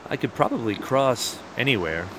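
A man's voice: mid-range voice, big pitch swings, normal speed, noisy background. A man playing a persistent, questioning outsider at a border wall in a one-act audio play, challenging whoever he talks to.

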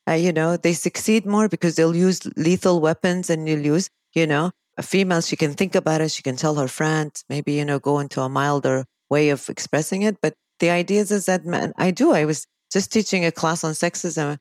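Low-voiced woman hosting a chat. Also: steady tone, a little fast, few pauses, darkish tone, no echo. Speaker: podcast host, psychology teacher. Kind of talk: casual interview chat.

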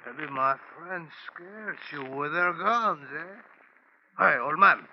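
Greedy outlaw leader, mocking, gravelly, unhurried, old radio drama. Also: male voice, a little high, animated. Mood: gloating, mocking. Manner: slow, taunting, mock-friendly. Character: greedy, mocking Mexican bandit chief, male, jovially menacing. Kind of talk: 1940s radio western acting. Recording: muffled, faintly noisy old radio.

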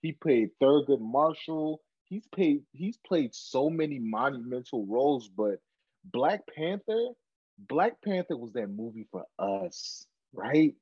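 A man's voice: mid-range voice, animated, takes it slowly, husky, no echo, highs cut off. A man from Cameroon co-hosting a podcast, talking earnestly in casual chat and often repeating words as he builds a point. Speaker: podcast co-host.

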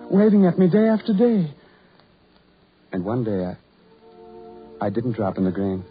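An actor playing a lonely, self-loathing ugly farm labourer in a 1940s radio horror drama, with halting, repetitive, brooding delivery. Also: male voice, sits high. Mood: agitated, wounded. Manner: halting, repetitive, brooding, building to anguished outbursts. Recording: muffled, tinny 1940s radio recording.

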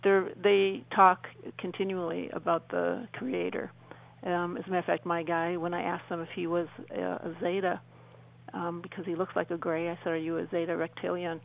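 A woman speaking as a guest on a radio show, telling her personal story in an earnest, halting way with repeated phrases. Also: on the low side, gravelly, low-quality recording. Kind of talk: personal account in an interview.

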